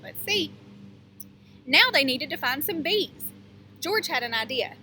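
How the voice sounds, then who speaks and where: A woman teacher reading a picture book aloud to young children, pausing to explain things and ask them questions. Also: high voice, lively intonation, bright, forceful tone, slight background noise.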